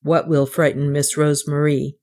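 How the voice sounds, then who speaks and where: A gruff village policeman from a storybook, performed by a woman reader. The voice drops low and weighs each thing with doubtful, fair-minded firmness. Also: flat delivery, a little mellow. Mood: questioning. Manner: gruff, deliberate.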